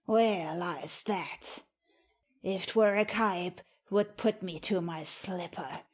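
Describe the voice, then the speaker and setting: A woman playing a cold, ambitious, scheming nobleman in a measured dramatic reading of Shakespeare. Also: fairly low pitch.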